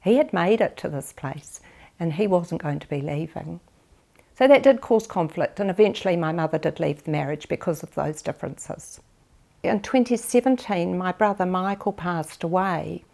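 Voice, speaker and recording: A New Zealand woman in her fifties or sixties, recounting her family memories for a documentary interview in a measured, reflective way with pauses. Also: fairly low pitch, animated, creaky, mellow. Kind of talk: personal family memoir.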